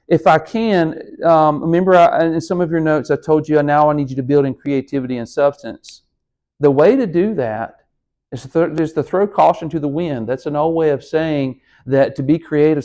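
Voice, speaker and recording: A male college speech instructor recording a lecture from a room with a lectern. His voice sits a little higher than most men's. He talks in a conversational, encouraging way and speaks straight to his students. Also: a little mellow.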